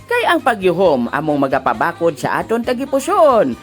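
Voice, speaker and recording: A woman's voice: on the low side, normal speed. A woman voicing a cheerful, teasing old grandpa who hosts a comedy radio program with exclamations and announcements. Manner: animated, exclaiming, giggly. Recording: music behind the voice.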